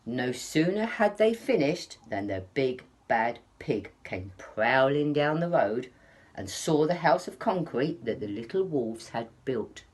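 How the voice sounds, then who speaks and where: Female early years storyteller, slightly low and husky, dramatic and animated for small children. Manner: dramatic, with hushed asides. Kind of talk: expressive story reading for young children.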